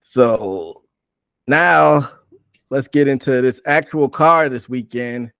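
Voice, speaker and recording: Male podcast host, conversational sports recap delivery, mid-pitched, very dry recording. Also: slow pace, vocal fry, fairly bright tone, no room sound. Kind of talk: casual sports recap talk.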